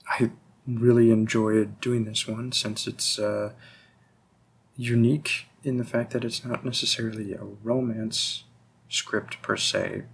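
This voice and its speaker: A man giving a casual, low-key outro to his viewers as an audio performer, speaking a little hesitantly. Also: vocal fry, slight background noise.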